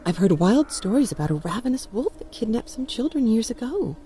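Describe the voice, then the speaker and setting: A woman's voice: a little high, very animated, a little fast, background noise. A woman playing a worried, protective mother in an audio storybook, with an anxious, cautioning manner. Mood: scolding, anxious. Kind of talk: audio storybook character acting.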